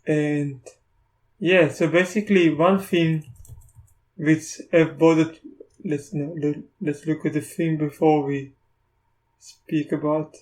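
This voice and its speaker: A South African man whose first language is Afrikaans, casually talking through a screen recording of software, often stopping and restarting. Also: a little high, steady tone. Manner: halting, restarts often, thinks aloud. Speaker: tech YouTuber.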